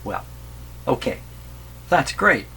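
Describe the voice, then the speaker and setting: A man's voice: medium pitch, very animated, takes it slowly, vocal fry, pushed and bright. A man giving casual workbench commentary as he tests a radio, often muttering to himself as he goes. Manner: muttering to himself while working. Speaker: radio repair hobbyist presenting. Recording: noisy workbench recording with electrical hum.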